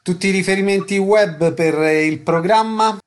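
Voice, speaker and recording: A man's voice: high voice, normal speed. A man hosting a radio programme, putting short questions and reading scripted announcements. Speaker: radio interviewer, host.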